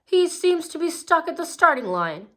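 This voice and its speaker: A woman voicing an excited male race announcer in a read-aloud storybook. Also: moderate pace. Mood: announcing. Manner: booming announcer calls.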